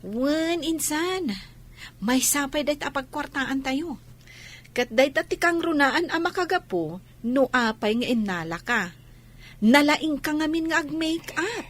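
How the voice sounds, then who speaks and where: A woman playing a lively, encouraging young female cousin in a comedy radio drama, brisk and coaxing. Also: high-pitched. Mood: coaxing, upbeat.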